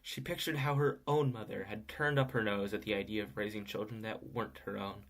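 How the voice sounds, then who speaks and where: A man's voice: lowish voice, steady tone, fairly quick, close to an everyday voice. A young man playing a gossipy storyteller who narrates an audio drama with wry, knowing asides. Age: young adult.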